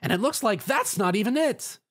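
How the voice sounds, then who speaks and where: A man's voice: very high voice, expressive, normal speed, pitched above the performer's own voice. A man voicing an eager, earnest young boy dragon in a storybook reading.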